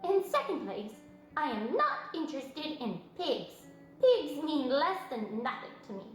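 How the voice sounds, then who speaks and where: Woman doing a snooty little lamb from a storybook, high voice, curt and dismissive, echoey room. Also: sing-song, unhurried, faint music behind. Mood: haughty, dismissive. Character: haughty, dismissive young lamb. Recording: very echoey room with faint noise.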